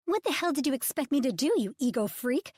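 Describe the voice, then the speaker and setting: A woman voicing a high-strung female Hollywood agent whose voice is high-pitched, strained, loud and scolding. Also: lively intonation, brisk, dry recording, clean studio sound. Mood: angry scolding.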